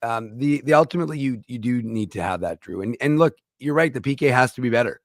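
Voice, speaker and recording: A man's voice: mid-range voice, quick pace, no room sound, clean studio sound. A man co-hosting a hockey talk show, giving emphatic, opinionated takes in casual chat.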